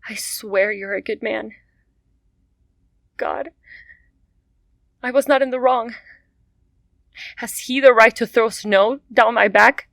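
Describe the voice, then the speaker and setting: A woman's voice: a little high, fairly even intonation, slow pace, vocal fry, long pauses, fairly bright tone, no room sound, exaggerated voice. An actress playing a desperate young mother in an audio drama, pleading and tearful. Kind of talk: radio drama acting.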